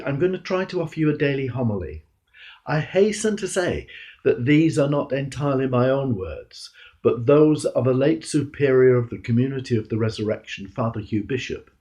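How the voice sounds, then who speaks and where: An older man with a British accent, a clergyman reading a homily aloud in a measured, earnest way with deliberate pauses and emphasis. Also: mid-range voice, warm tone.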